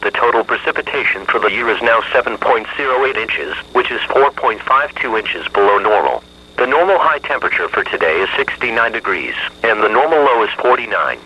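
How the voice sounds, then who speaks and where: Sharp, raspy synthetic male weather announcer. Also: fairly low pitch, lo-fi sound. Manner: flat, evenly measured synthetic delivery. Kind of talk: automated scripted weather bulletin. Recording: weather radio broadcast.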